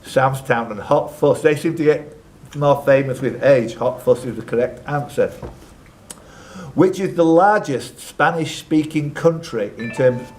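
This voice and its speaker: A man's voice: mid-range voice, a little slow, a little hiss or hum. Man hosting a live quiz. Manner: jokey, teasing asides to contestants. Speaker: quiz host and question reader. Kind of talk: live quiz hosting, reading questions with banter.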